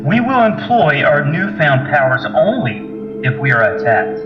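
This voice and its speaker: An actor playing a proud, analytical alien scientist with formal, precise diction in an audio drama. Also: male voice, on the high side, sing-song, measured pace. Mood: lofty, firm. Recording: dull echoey lo-fi audio, music.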